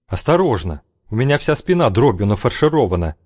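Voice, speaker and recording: A man voicing a calm, wounded young man who talks steadily and conciliatorily. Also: medium pitch, a little fast, husky, no echo, highs cut off.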